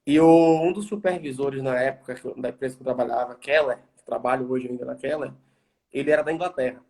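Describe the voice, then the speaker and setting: A man with a Bahian accent, a guest speaking in a casual interview, relaxed and slangy, often restarting his sentences. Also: medium pitch, fast. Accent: Bahian Brazilian Portuguese. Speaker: guest, environmental engineer.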